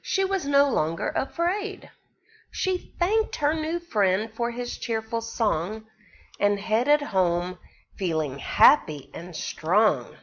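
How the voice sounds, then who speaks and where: A woman reads a rhyming picture book to little children in a slow, sing-song teacher's voice. Her pitch swings widely as she stops to ask the kids questions. The recording sounds dull and lo-fi. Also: big pitch swings, fairly bright tone. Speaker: pre-K teacher. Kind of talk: reading a picture book aloud to young children.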